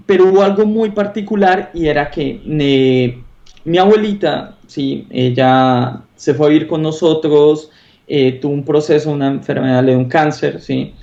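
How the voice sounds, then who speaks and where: Enthusiastic Colombian man, fairly high voice. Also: creaky, a little mellow, highs cut off. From Popayán, southern Colombia. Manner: enthusiastic, emphatic, often adds a questioning "¿sí?". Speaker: interview guest, concept artist. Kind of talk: casual conversational interview.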